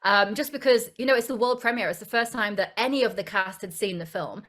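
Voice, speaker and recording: A woman's voice: mid-range voice, fairly quick, a bit bright. A young British actress, a guest in an interview, speaking fluently and enthusiastically, often repeating a word as she starts a thought. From United Kingdom.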